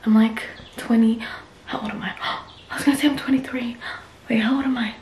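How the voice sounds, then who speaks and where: A woman's voice: a little high, fairly even intonation, brisk, a little mellow, echoey room, slight background noise. A woman in her twenties vlogging casually to a phone at arm's length, chatty and often whispering. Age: 20s. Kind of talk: casual vlog chat. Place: small bedroom indoors, then outdoors on walks. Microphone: phone held at arm's length.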